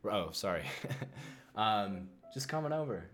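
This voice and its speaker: Rambling low-voiced man co-hosting. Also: big pitch swings, unhurried, fairly bright tone, faint music behind. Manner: rambling, stumbling restarts. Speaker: podcast co-host. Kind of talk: improvised comedy chat.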